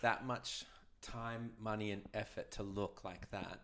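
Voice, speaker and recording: Lower-voiced Australian man, chatty and gossipy. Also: fairly even intonation, a little slow, pushed and bright, slight background noise. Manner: chatty, agreeable, gossipy, eager to chime in. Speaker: podcast co-host. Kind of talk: casual gossipy recap chat.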